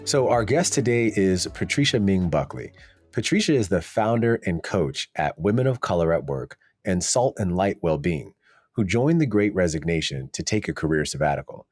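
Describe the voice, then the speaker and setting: A man's voice: sits low, lively intonation, normal speed, a little mellow, husky, dry recording, studio quality, faint music behind. A man hosting an interview podcast, talking in an easygoing way with casual fillers. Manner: easygoing, uses fillers like "you know". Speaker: podcast host. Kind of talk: conversational interview.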